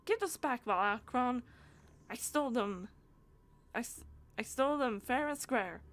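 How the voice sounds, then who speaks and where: Woman reading as an earnest, high-voiced heroic rider. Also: expressive, a little slow. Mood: confrontational. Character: earnest, urgent heroic rider in a horse quest. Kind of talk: reading game dialogue aloud.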